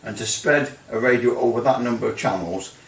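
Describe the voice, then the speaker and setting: A man's voice: medium pitch, a little fast, creaky. A man giving a casual hands-on demonstration of radio equipment, rambling and hesitant with grumbling asides. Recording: roomy, distant mic, faint noise.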